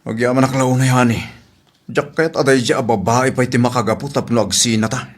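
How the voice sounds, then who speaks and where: An uneasy, faithful husband acted by a man in a household audio drama. He speaks at a medium pitch, earnest and full of complaint. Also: steady tone, fairly quick, close to an everyday voice. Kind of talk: domestic audio drama acting.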